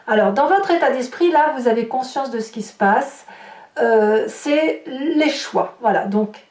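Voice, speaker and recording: Echoey, higher-voiced woman reading tarot. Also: darkish tone. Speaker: tarot reader and host. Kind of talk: tarot reading addressed to viewers. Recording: roomy, echoing sound.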